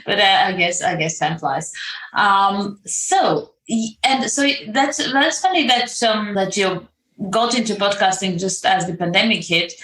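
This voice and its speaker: A woman hosting a podcast, lively and quick to laugh as she chats with her guest. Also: mid-range voice, roomy sound, poor audio. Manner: lively, laughs, acts out her own reactions. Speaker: podcast host. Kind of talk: scripted intro then casual interview talk.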